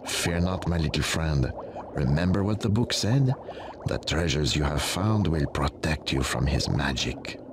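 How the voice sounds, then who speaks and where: A wise and solemn guide figure with an extremely deep voice. He is formal, reassuring and encouraging, in cartoon game style, with clear noise behind him. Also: animated, measured pace, heavily acted. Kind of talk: cartoon video game voice acting.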